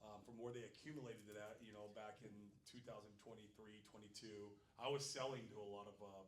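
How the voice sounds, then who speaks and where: Man from Phoenix, seminar panel speaker, rambling and animated, echoey room, distant mic. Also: lowish voice, a little fast, a little hiss or hum. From Phoenix, USA. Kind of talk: live seminar panel talk.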